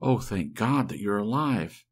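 A man's voice: lowish voice, lively intonation, a little slow, slightly rough. A man voicing an anxious, loving young wife who talks in a breathless, pleading way. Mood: frantic.

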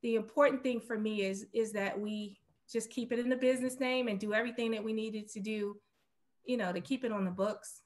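High-voiced middle-aged woman, casual guest. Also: steady tone, a little fast, a little mellow. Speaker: guest real estate investor. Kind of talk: casual business interview. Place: room at home, indoors, on a video call.

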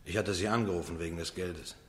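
A man's voice: sits low, fairly even intonation, fast talker, fairly bright tone, slight background noise. An actor playing an evasive, naive, disreputable accused man in a radio drama, talking hesitantly and colloquially. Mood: sheepish, defensive. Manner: hesitant, colloquial, tag questions.

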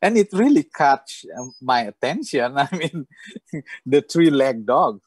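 Husky Balinese man, halting and reflective. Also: mid-range voice, very animated, no room sound. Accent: Balinese Indonesian. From Bali, Indonesia. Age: middle-aged. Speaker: interviewer, moderator. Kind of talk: reflective interview conversation. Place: book-lined room, indoors, video call. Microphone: earphone headset mic.

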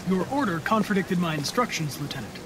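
An actor playing a polite, unflappable young American android police assistant in a video game, speaking in formal, measured sentences. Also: male voice, high-pitched, lively intonation, noisy background. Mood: calm, formal. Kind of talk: video game performance capture acting.

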